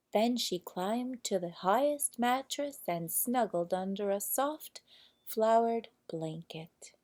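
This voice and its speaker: Woman, storybook narrator, slow pace, middle-pitched voice, deliberate theatrical phrasing. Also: darkish tone. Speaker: storybook reader. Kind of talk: expressive scripted children's story narration.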